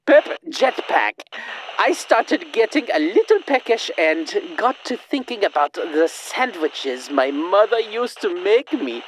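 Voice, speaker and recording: Giddy inventor, slow and theatrical. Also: female voice, mid-range voice, sing-song, a little hiss or hum, rough recording. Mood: grandly triumphant. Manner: theatrical, self-congratulating. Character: Captain Pedalsurp, giddy, self-delighted time-travelling inventor. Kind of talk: audio drama, dictated personal log.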